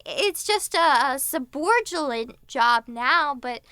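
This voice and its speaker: A woman's voice: high-pitched, lively intonation, takes it slowly, bright, forceful tone, no room sound, exaggerated voice. A woman playing a cheeky, pestering little girl in an old-time radio comedy, chattering and stubborn. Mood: cheeky, matter-of-fact. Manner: chattering, stubborn, repeats herself.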